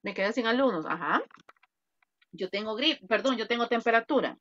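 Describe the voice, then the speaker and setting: A woman's voice: on the high side, lively intonation, moderate pace, fairly bright tone. A woman teaching an online English grammar class, explaining patiently and quizzing her students. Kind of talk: online grammar lesson in Spanish. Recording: dull, dry video-call audio.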